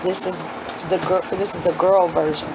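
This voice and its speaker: A woman's voice: on the low side, flat delivery, normal speed. Middle-aged mother reading aloud, noisy background. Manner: asks children questions, explains asides. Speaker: homeschooling mother. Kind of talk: reading a children's book aloud and talking with young children.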